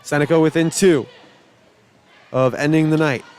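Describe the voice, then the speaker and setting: A man calling high school volleyball play by play, shouting excitedly over rallies, his voice starting to go. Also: moderate pace, a little hiss or hum. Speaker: play-by-play announcer.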